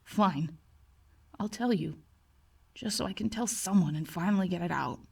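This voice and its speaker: A woman's voice: medium pitch, normal speed, vocal fry, darkish tone, slightly rough, far from the mic, voice pushed down. A woman voicing an agitated, tormented young male patient in a horror story, tight and bitter, struggling to keep composure. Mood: resigned, fearful. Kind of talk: acted horror story dialogue.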